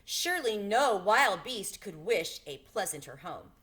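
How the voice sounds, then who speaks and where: Woman reading as a proud storybook lion, lifted pitch. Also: big pitch swings, unhurried, fairly bright tone. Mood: delighted. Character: brave proud male lion, gracious and confident.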